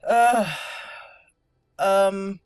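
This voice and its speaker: A woman hosting a roleplay video game playthrough, moving between deliberate in-character lines and casual commentary. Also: medium pitch, a little mellow, smooth, dry recording, studio quality. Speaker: gaming video host.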